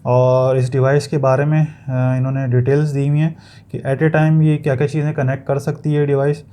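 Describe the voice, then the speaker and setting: A man's voice: medium pitch, flat delivery, normal speed, dark tone, slight background noise. A man with a Pakistani accent giving a casual, explanatory product review, feeling for his words and sometimes repeating them, as in an unboxing video.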